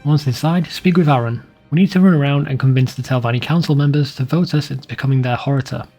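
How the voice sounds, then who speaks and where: Mellow, husky man narrating a game guide. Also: medium pitch, fast, soft background music.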